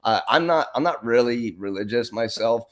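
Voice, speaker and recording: A man's voice: mid-range voice, lively intonation, moderate pace. A man with a strong, powerful voice sitting in on a casual chat. He rambles eagerly through his stories, restarting his sentences as he goes. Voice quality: powerful singing voice. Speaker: guest singer.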